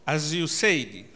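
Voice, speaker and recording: Halting man at a panel. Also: on the high side, sharp tone, a little hiss or hum. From Tanzania. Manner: halting, many fillers and restarts, formal address. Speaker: panelist, government official. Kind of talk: formal panel answer.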